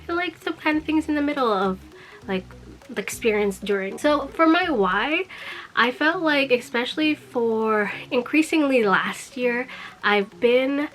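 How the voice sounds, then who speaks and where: A woman's voice: high-pitched, animated, unhurried, faint music behind. A young woman vlogging casually while she gets over a cold, chatty and full of fillers and small laughs. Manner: quiet and sleepy, just woken up. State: recovering from a cold. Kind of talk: casual vlog talk.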